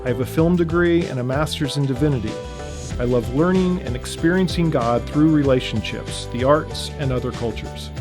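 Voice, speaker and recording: Middle-aged male co-host, steady scripted delivery, clear guitar bed. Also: mid-range voice, moderate pace. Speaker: podcast co-host, pastor. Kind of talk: scripted intro. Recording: over clear guitar music.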